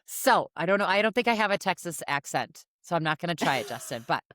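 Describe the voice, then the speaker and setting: Woman podcast host, lowish voice, warm-ish encouraging chat, super dry close mic. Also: animated, fast talker, no echo. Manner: encouraging, affirming, frequent "yeah". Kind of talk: hosting a casual podcast interview.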